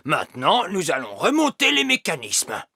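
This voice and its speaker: A man's voice: on the high side, wildly swooping pitch, normal speed, gravelly, heavy background noise, exaggerated voice. A man voicing a wise old elf teacher in a French cartoon dub, pompous and schoolmasterly, stern but patient. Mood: instructive, patient. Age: older.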